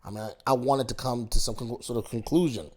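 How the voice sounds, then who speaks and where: A man's voice: medium pitch, fairly even intonation, fast, a little mellow. A man giving a casual monologue as a YouTube commentator, reflective, posing rhetorical questions to the viewer and circling back over his own words. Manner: talks in loose restarts and repeats himself.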